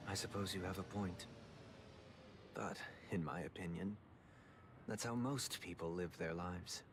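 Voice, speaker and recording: A man playing a composed, courteous young gentleman leader in a video game, speaking in a polite, measured, formal way. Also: sits low, background noise. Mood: calm, reflective. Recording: music playing over the voice.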